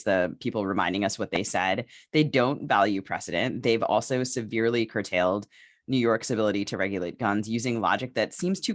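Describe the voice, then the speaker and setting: An American woman with very lively, varied intonation. She co-hosts a podcast in a casual, opinionated way, with dry, teasing sarcasm. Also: very deep voice. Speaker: podcast host. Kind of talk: casual, opinionated political talk.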